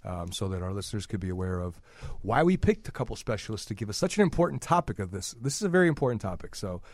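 A man's voice: on the low side, very animated, a little mellow, no room sound. A man from Chicago co-hosting a casual talk show, joking and teasing the others. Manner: joking, teasing, quick banter. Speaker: co-host.

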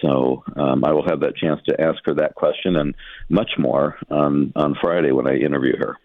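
A man talking through local news as a guest editor on a radio show, explaining things in a measured, halting, conversational way. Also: a bass voice, steady tone, dry recording. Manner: explanatory, measured, hesitant phrasing. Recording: muffled narrow phone-like line.

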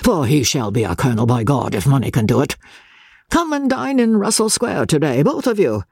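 A man's voice: a little high, sing-song, fairly quick, creaky, a little mellow, breathy edge, no room sound, clean studio sound, voice pushed up, full character voice. A man playing a coarse, blustering old merchant. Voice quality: coarse, bullying.

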